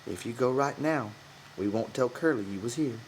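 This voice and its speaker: A man voicing an old ranch hand in an audiobook, quiet, cautious and folksy. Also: mid-range voice, normal speed, a little hiss or hum, close to an everyday voice, low-quality recording. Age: older. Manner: soft-spoken, folksy. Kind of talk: audiobook character voice.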